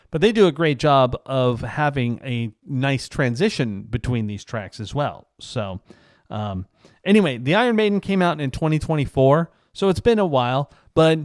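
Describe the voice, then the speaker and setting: Clear-voiced man giving a casual review. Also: mid-range voice, dry recording, studio quality. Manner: informal, enthusiastic, gushing. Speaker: reviewer, show host. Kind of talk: casual solo review talk.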